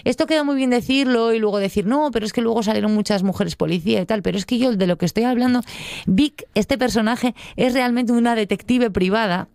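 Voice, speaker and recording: A woman's voice: on the high side, a little fast, vocal fry, a little mellow, no echo, clean studio sound. A middle-aged woman with an accent from Spain, talking informally and digressively about books as a show contributor. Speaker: book segment contributor on a show. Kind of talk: conversational book review.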